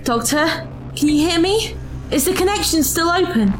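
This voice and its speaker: A woman's voice: very high-pitched, animated, normal speed, a little hiss or hum. An actress playing an earnest, principled woman companion in an audio drama, indignant and let down. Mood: troubled. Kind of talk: full-cast audio drama acting.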